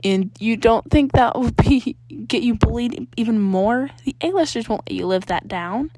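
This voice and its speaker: Sharp-tongued teen girl, acted by a woman reader: disapproving, incredulous, scolding. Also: a little high, lively intonation, moderate pace, no echo. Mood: skeptical. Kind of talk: acted fan fiction dialogue.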